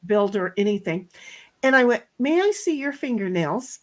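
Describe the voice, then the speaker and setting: A middle-aged woman with a loud voice, telling a story bluntly in a casual chat, swearing now and then. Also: mid-range voice, lively intonation, moderate pace. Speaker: co-host.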